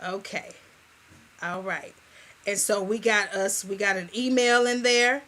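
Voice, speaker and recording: A woman hosting a radio talk show in casual, playful chat, with a soft voice and a sassy, lively manner. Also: medium pitch, measured pace. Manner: lively, playful, sassy, calls listeners "girl" and "y'all".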